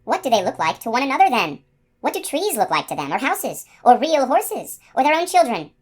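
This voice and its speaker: A woman voicing a proud, ancient female unicorn in a storybook reading, speaking in a measured, dignified way. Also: high voice, very animated, a little mellow, slightly rough, pitched above the performer's own voice, heavily acted. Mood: wondering.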